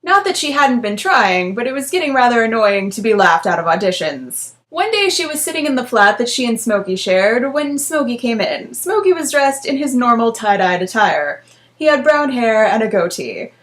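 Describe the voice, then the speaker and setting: A woman's voice: fairly high pitch, big pitch swings, normal speed, a bit bright. A young woman vlogging in a casual chat and reading out her old writing, wry and self-deprecating.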